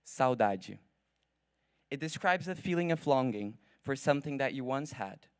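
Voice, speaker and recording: A young man whose first language is Portuguese, giving an earnest, formal graduation speech from a podium at a ceremony, with measured pacing and dramatic pauses. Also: mid-range voice, animated, clear, resonant tone, dry recording, clean studio sound. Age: young adult. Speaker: graduating student speaker. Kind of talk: prepared commencement speech.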